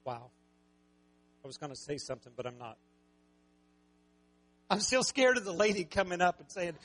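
A man preaching a sermon with a raspy voice, talking conversationally and joking with the congregation. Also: high voice, fairly bright tone. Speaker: pastor.

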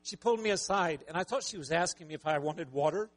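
A man preaching a sermon with a raspy voice, talking conversationally and joking with the congregation. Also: a little high, quick pace. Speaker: pastor.

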